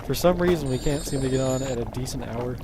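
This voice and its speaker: A man casually commenting on his own gameplay in a low-key, tired, nearly monotone way. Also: fast, background noise. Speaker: let's play host. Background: loud game music.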